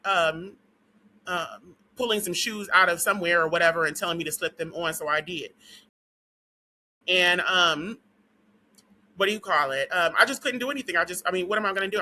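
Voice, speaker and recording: A woman vlogger talking casually to her viewers in a rambling, profane rant full of "um"s, her voice dry and hoarse as it gives out. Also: fairly low pitch, bright, forceful tone, rough recording. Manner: rambling and profane, with frequent "um" and "what do you call it".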